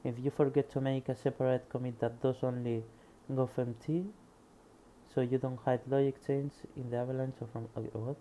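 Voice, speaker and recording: A man with a Spanish accent reads technical text aloud in a very dark, mellow voice. Between passages he mutters quietly to himself while testing the code. A faint hum sits under the recording. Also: medium pitch, flat delivery, a little fast, low-quality recording. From Spain. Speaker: programmer reading a book aloud. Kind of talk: casual screen-recorded reading and coding.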